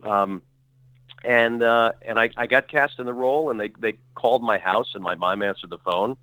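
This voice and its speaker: A middle-aged actor speaking as a podcast guest, telling long stories in a rambling, self-correcting way full of fillers. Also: male voice, medium pitch, moderate pace, sharp tone, no room sound, low-quality recording. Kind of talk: relaxed conversational storytelling.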